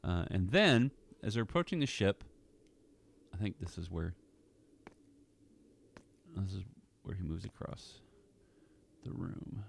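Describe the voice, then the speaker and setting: Low-voiced man thinking aloud, slightly gravelly. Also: big pitch swings, slow pace, pauses often, slight background noise. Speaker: audio drama creator. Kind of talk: casual walkthrough of editing. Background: film score music.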